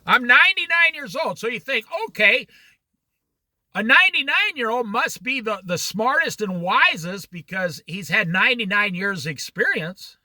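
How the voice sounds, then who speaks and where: Bright, lively-voiced man teaching. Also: high-pitched, moderate pace, no room sound. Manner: animated, challenging rhetorical questions; jokey asides. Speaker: Bible study teacher. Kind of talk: informal Bible study talk.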